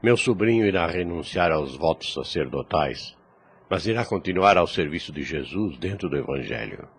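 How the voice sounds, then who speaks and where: A man's voice: deep voice, lively intonation, normal speed, husky, heavily acted. An actor playing a kindly, frank older priest in a radio drama, formal and fatherly. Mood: fatherly, concerned.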